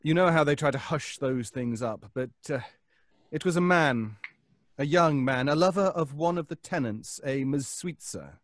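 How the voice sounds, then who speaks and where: A man's voice: a little high, animated, no room sound, close to an everyday voice. An actor playing a haunted, sleepless, obsessive man, anxious and stammering, in old-time radio suspense style. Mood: brooding, intense. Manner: halting, stammering, pleading, melodramatically poetic.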